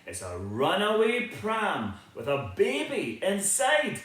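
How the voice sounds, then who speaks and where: A man's voice: sits high, huge pitch swings, slow, a bit bright. A man reading a children's picture book aloud in a theatrical, sing-song, inviting way. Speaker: storybook reader. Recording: very echoey, reverberant room.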